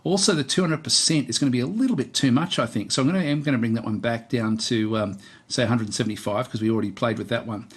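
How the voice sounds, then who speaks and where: A man giving a casual, unscripted software tutorial, hesitant and thinking aloud as he presents. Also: medium pitch, quick pace, breathy edge.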